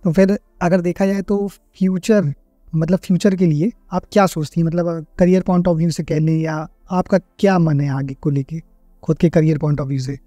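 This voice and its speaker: A man's voice: fairly high pitch, fairly even intonation, moderate pace, mellow, no room sound, clean studio sound, soft background music. A man hosting a podcast, asking casual conversational interview questions and responding to his guest.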